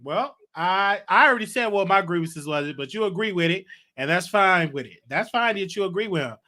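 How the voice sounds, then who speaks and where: A man's voice: high-pitched, expressive, brisk, sharp tone. A man hosting a live call-in show, laid-back, answering callers with short murmured agreements and thanking them on the way out. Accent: Texan. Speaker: host taking callers.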